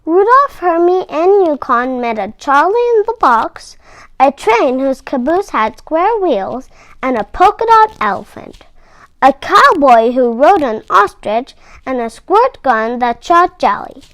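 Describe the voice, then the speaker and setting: High, animated woman reading a storybook. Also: unhurried, dry recording, poor audio. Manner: expressive, emphatic phrasing. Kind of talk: children's storybook read-aloud.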